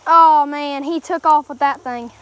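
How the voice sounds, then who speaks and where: A woman's voice: sits high, steady tone, a little slow, lo-fi sound. A woman, chatting easily to viewers while fishing by a mountain creek. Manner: chatty, easygoing, talks to viewers. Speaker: fishing vlogger. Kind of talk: casual running commentary while fishing. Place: outdoors by a wooded mountain creek. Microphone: handheld phone camera.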